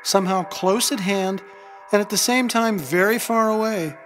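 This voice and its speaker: An actor voicing a wistful storyteller who recalls childhood in measured, lyrical phrases for an audio drama. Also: medium pitch, close to an everyday voice. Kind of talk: scripted dramatic narration. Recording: long hall reverb, music behind.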